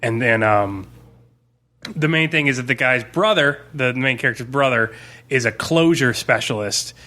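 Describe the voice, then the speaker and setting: A man's voice: medium pitch, normal speed, pushed and bright. A man chatting casually as a podcast co-host, rambling with slangy, emphatic enthusiasm. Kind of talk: casual podcast chat about zombie fiction and comics.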